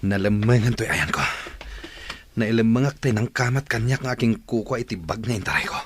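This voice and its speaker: A man's voice: on the low side, a little fast, lightly acted. A man playing a scheming street thief who plots robberies with his partner in a radio drama. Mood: shifty, urging. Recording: old noisy radio drama recording.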